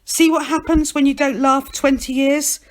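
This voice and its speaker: A woman's voice: high voice, level tone, normal speed, pitched above the performer's own voice, lightly acted. A woman reading a storybook, playing a glum, bitter male fairground hustler who sighs and needles. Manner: sighing, downbeat, needling.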